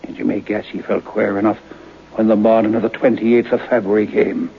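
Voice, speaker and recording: An actor playing a knowing folk-tale storyteller, narrating an old-time radio drama in an unhurried way. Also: male voice, fairly low pitch, little pitch movement, creaky. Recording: muffled, hissy old radio broadcast.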